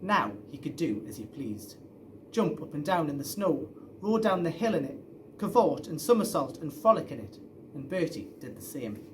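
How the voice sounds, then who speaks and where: A man's voice: a little high, sing-song, normal speed, a little hiss or hum. A man reading a children's story aloud expressively, as a storyteller. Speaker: story reader.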